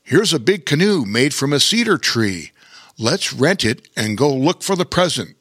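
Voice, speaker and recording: A man's voice: mid-range voice, big pitch swings, normal speed, a bit bright, no echo, studio quality, voice pushed up. A man voicing a good-natured, strong bear in a children's story. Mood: hearty.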